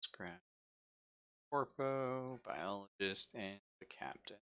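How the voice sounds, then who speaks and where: A man acting as game master, narrating casually and haltingly as he thinks aloud. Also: on the low side, pushed and bright, clean tone. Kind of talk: casual narration of a tabletop roleplaying game, live stream.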